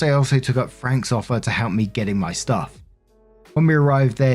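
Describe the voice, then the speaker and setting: A man's voice: medium pitch, a little mellow. A man narrating online stories aloud as a show host, conversational and informal, with casual commentary.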